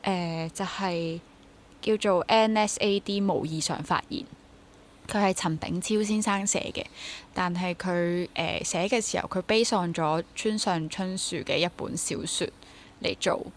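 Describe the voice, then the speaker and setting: A woman's voice: fairly low pitch, unhurried, slight background noise. A young adult woman with a Hong Kong accent chats casually and reflectively as an interview guest, mixing in English words. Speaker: interview guest, theatre actor.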